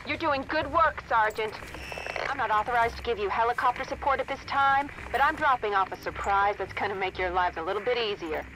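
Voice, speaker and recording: Composed army radio commander. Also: female voice, fairly high pitch, brisk, sharp tone, rough recording. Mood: businesslike. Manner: clipped, formal radio procedure. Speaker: military radio operator giving mission orders. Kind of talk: military shooter game acting. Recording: radio call with battle noise behind.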